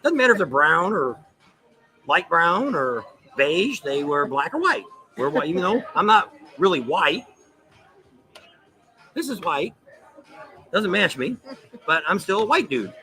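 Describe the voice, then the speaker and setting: Midwest guy rambling his opinions on a live show, bright kinda high voice. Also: unhurried, long pauses. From United States, near Saint Louis. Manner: rambling, repeats himself, animated opinions. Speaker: show host. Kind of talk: casual opinionated chat.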